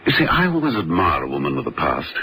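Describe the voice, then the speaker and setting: A man playing a suave, flirtatious gentleman agent in a radio drama, urbane, gallant and teasing. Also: very deep voice, wildly swooping pitch, fast, slightly rough, heavily acted. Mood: suave flirtation.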